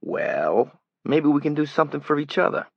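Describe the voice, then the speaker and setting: A man voicing a pushy male tabloid reporter with a flat, nasal Brooklyn accent. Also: fairly high pitch, normal speed, creaky, dry recording, muffled recording, pitched above the performer's own voice, heavily acted. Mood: breezy. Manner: pushy, glib salesman patter.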